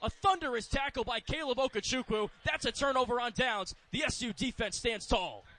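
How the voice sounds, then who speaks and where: A man's voice: extremely high pitch, a little fast, sharp tone. A man with an American accent giving live radio play-by-play of American football in clipped, descriptive phrases.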